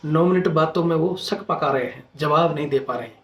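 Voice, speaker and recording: A man's voice: a little high, brisk, lo-fi sound. A man, a channel host making an earnest, insistent informal appeal to his followers. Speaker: retired soldier speaking as channel host. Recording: echoey room sound.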